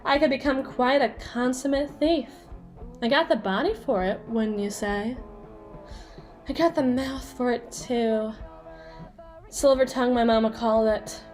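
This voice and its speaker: Woman acting a high-pitched, teasing yandere girl. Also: darkish tone, soft background music, natural voice. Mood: sly, taunting. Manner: teasing, sassy, theatrical, drawn-out emphasis. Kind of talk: ASMR roleplay monologue addressed to the listener.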